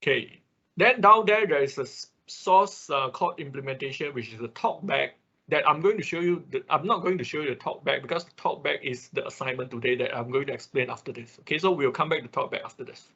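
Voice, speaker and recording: A man who speaks English as a second language, giving a live technical tutorial step by step in a halting manner, often checking with short tag words. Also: mid-range voice, bright, forceful tone, breathy edge. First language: not English. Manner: halting, often repeats and checks with okay or right. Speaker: workshop instructor. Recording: dull, lo-fi online class audio.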